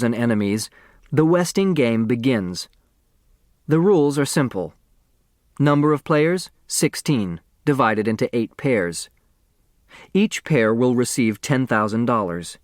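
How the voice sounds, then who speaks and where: A formal man announces rules and names in a storybook mystery, acted by a male narrator. He is deliberate and official, at a medium pitch in a very dry recording. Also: dry recording, lightly acted.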